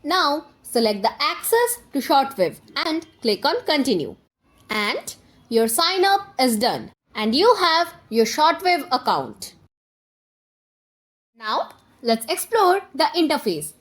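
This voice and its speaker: A woman with a high voice narrates a scripted software tutorial. Her intonation is very animated, moving freely up and down. She speaks directly to the viewer as an instructor, laying out each step in order. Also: slow. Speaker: tutorial presenter.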